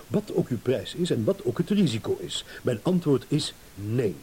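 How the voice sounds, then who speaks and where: An actor playing a haughty, sardonic gentleman who will not be pushed around, condescending and emphatic, in a radio play. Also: mid-range voice, sing-song, normal speed, lightly acted. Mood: defiant, scornful. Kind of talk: Dutch-language radio play acting. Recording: hissy old radio play recording.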